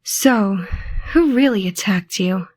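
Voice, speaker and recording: A woman playing a loyal, sharp-witted teenage girl in an audio drama, speaking in an earnest, pressing way. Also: medium pitch, slow. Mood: probing. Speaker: voice actor.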